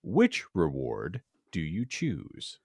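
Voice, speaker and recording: Male podcast co-host, lowish and very animated in pitch, dry teasing banter. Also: drawn-out words, creaky, slightly rough, no echo. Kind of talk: casual banter and reading aloud a hypothetical.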